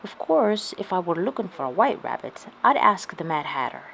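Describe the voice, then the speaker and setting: A woman's voice: lowish voice, big pitch swings, normal speed, gravelly, a bit bright, a little hiss or hum, full character voice. A woman voicing a sly, teasing male cat in an offhand, playfully knowing way for a storybook. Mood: sly, casual.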